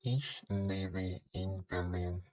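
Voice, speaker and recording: A man playing a young man introducing himself in a scripted language-lesson dialogue, very deliberately, phrase by phrase. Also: low voice, darkish tone, dry recording, poor audio. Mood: plain, measured.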